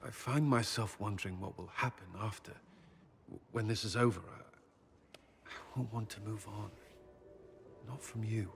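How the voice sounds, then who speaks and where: An actor playing an earnest, haunted military commander and former knight who is tender in love, in a video game cutscene. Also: male voice, lowish voice, creaky. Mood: hesitant, tender. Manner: halting and hesitant when speaking personally. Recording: long reverb, background noise.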